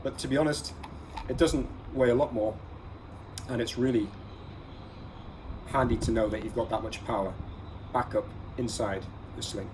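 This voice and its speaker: A man's voice: mid-range voice, steady tone, moderate pace, long pauses. A man hosting a casual gear vlog, talking viewers through products in an instructive, advising way and stressing key words. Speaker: host of an everyday-carry gear vlog. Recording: clear background ambient noise.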